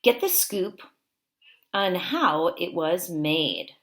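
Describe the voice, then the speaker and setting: A woman teacher reading aloud to young children in simple, deliberate phrasing, pausing to explain as she goes. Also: on the low side, big pitch swings, fairly bright tone. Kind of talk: read-aloud lesson with explanatory asides.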